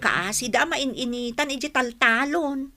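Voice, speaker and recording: Middle-aged rural mother, high-pitched, weary, scolding, exclamatory, Ilocano radio drama. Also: measured pace, crowd noise. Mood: scolding, dismayed.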